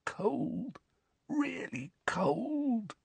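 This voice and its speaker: A man voicing a dazed, spoiled teenage bully in an audiobook, hoarse, shaky and mumbling. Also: very high voice, very animated, extremely slow, dry recording, voice pushed up, full character voice. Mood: trembling, horrified. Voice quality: hoarse, croaky.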